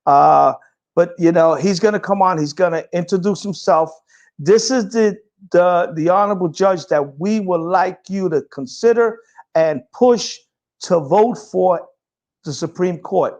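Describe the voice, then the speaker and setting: A man's voice: high voice, measured pace, darkish tone. A man hosting a talk show, introducing his guest in a brash, informal way. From Puerto Rican heritage. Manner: brash, informal, hesitant over names.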